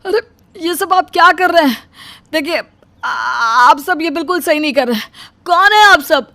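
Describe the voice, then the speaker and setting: A woman's voice: extremely high pitch, expressive, a little slow, pushed and bright, no room sound, heavily acted. A woman voicing a mild, polite young husband in a cartoon story. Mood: panicked. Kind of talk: Hindi cartoon story voice acting.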